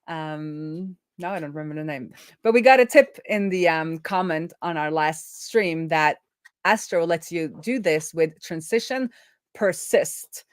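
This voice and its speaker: A woman's voice: low voice, measured pace, dry recording, clean studio sound. A woman hosting a coding livestream, chatting casually and thinking aloud as she works.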